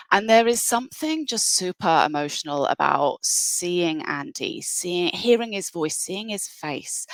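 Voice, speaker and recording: A woman's voice: on the low side, a bit bright, no echo. A woman presenting a webinar in an enthusiastic, conversational way. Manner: enthusiastic, conversational, with fillers and restarts.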